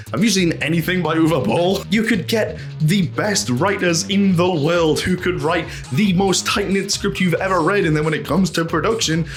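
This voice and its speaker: A man with a fairly high voice hosts a casual video essay over background music. He talks in an animated, opinionated rant, throwing out rhetorical questions and swearing freely. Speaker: host of a video essay channel.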